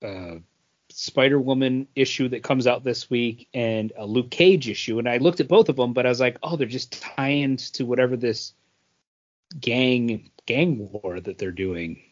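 An easygoing man co-hosting a podcast, leading into the next segment. He talks slowly and without hurry. The recording is dry and dull, with a lo-fi sound. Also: medium pitch, no echo. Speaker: podcast co-host. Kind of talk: casual chat.

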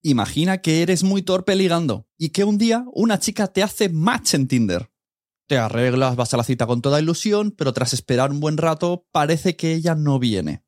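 A man's voice: on the high side, animated, normal speed, darkish tone, dry recording, clean studio sound. A man with an accent from Spain talks casually and directly to the listener as a podcast host. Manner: chatty, direct address to listener.

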